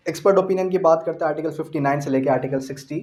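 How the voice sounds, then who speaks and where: A man's voice: on the high side, racing, a little mellow. A man with a Pakistani accent teaching an exam-prep law lecture, explaining by asking questions and answering them, mixing in English legal terms. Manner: mixes English legal terms and quotations into speech.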